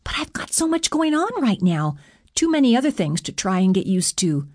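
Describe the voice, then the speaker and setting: A woman's voice: medium pitch, animated, normal speed, a little mellow, no room sound, clean studio sound, voice pushed up, natural voice. A woman voicing a frustrated, emotional young goddess in an audiobook, with an emphatic, accusing way of talking. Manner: exasperated, emphatic.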